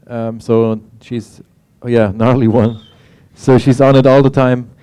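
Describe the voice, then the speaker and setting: A man moderating a panel, asking casual questions in an informal, halting way, often rephrasing as he goes. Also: mid-range voice, fairly even intonation, dark tone, clean tone.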